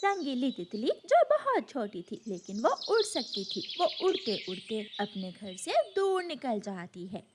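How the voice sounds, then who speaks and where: A high-voiced woman narrates a children's story in a scripted storytelling style. Her pitch moves in lively swings, and there is faint noise behind her. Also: a little slow, hardly any pauses.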